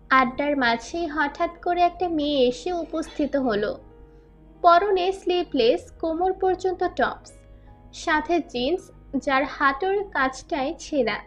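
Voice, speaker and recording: Very high-pitched woman narrating over music. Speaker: story narrator. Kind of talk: scripted narration of a romantic audio story. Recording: music under the voice.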